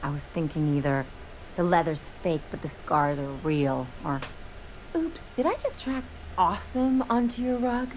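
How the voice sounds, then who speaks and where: An actress playing a brash, bitter young adventurer in a video game, with a sardonic, theatrical way of telling stories. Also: female voice, sits low, expressive, moderate pace, a little mellow, slight background noise, poor audio. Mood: wry, dramatic. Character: brash young adventurer woman, bitter, dramatic, estranged from parents.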